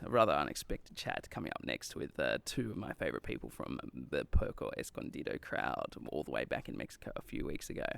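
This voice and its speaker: A man's voice: rumbling low, vocal fry, a bit bright. A man hosting a casual travel podcast, chatting in a rambling, hesitant way peppered with filler words. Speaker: podcast host.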